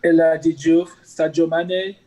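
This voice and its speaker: A man's voice: a little high, flat delivery, measured pace, mellow, rough recording. A man from France with a French accent, a soccer coach giving short, polite answers in an interview.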